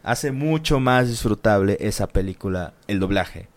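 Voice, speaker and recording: A man's voice: fairly low pitch, animated, normal speed. A man co-hosting a casual chat, slangy and full of swearing and fillers.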